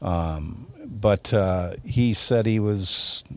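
Low-voiced, creaky man telling stories. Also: very slow. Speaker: radio show podcast co-host. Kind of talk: casual conversational banter.

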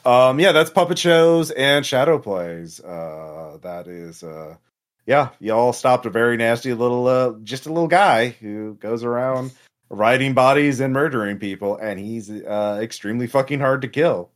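A man who speaks as game master in a casual post-game explanation, haltingly, trailing into pauses and swearing now and then. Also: medium pitch, expressive. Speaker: game master of a tabletop role-playing podcast.

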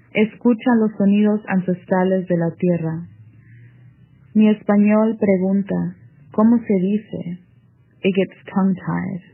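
A woman reciting a poem slowly, switching between Spanish and English. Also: medium pitch. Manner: slow, measured poetic recitation mixing Spanish and English. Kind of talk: reading a poem aloud. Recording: tinny phone-like line.

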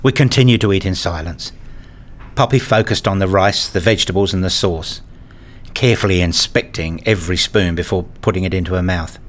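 A man reading a scripted first-person story as narrator, in a measured, introspective manner. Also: deep voice.

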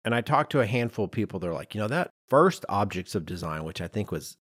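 A middle-aged man co-hosting a podcast in a conversational, animated and emphatic way, with some self-deprecation. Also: fairly low pitch, fast talker, dry recording, studio quality. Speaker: podcast co-host.

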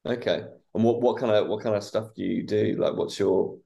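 A man's voice: lowish voice, fairly even intonation, normal speed, vocal fry, mellow. A man hosting a podcast, asking rambling questions with restarts in a casual interview. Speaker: podcast host.

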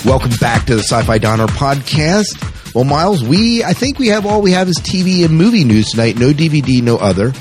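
A man's voice: fairly high pitch, big pitch swings, brisk, over music. A man co-hosting a podcast, talking in an informal, rambling, conversational way. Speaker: podcast co-host. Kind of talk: casual podcast chat.

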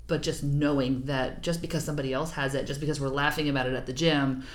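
A woman's voice: rumbling low, fairly even intonation, quick pace. A woman speaking as a podcast guest and physical therapist, explaining things in an agreeable, informal, conversational way. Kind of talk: casual interview conversation.

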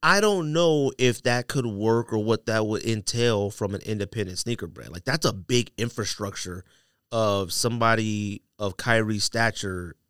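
A man's voice: fairly low pitch, normal speed, a bit bright, clear, resonant tone, no echo, clean studio sound. Blunt, slangy man hosting a podcast. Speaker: podcast host. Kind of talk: casual chat about sneakers. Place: studio.

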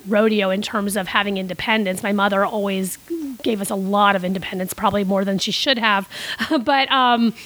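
A woman's voice: medium pitch, fairly even intonation, a little fast, a bit bright, poor audio. A middle-aged woman who grew up in Costa Rica, telling long stories as a podcast guest with many restarts and fillers. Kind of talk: casual interview storytelling.

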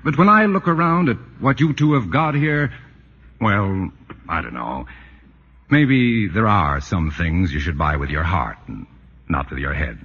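An actor playing a wry, plain-spoken family friend in a 1940s radio drama, with a husky voice. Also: male voice, sits low, lively intonation, a little slow, exaggerated voice. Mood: reflective. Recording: reverberant, dull old radio broadcast.

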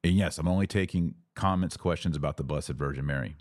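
Man, deep voice, dry close sound, didactic podcast host, conversational religious teaching, emphatic phrasing. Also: fast, no echo. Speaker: podcast host answering live audience questions.

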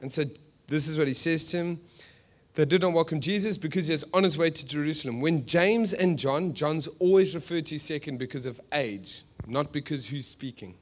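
A South African man preaching conversationally to a congregation, animated, with joking asides and rhetorical questions. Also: fairly high pitch, moderate pace. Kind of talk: sermon to a live congregation, conversational storytelling.

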